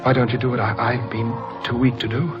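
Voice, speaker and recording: A man's voice: medium pitch, level tone, fast, natural voice. An actor playing a bitter, weak, murderous man with a gloomy, fraught delivery in an old radio drama. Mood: bitter, goading. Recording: muffled old radio broadcast, music underneath.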